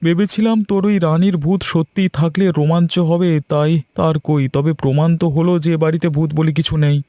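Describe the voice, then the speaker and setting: A man voicing a bold, adventurous young man in an animated horror story. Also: a little high, a little fast, dry recording, close to an everyday voice. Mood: scoffing. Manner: eager, scoffing.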